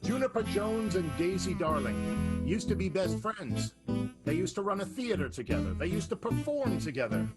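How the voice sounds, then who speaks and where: A man's voice: sits high, very animated, moderate pace, loud music behind the voice. A man narrating an improvised radio soap, setting up the scene in a playful storytelling way.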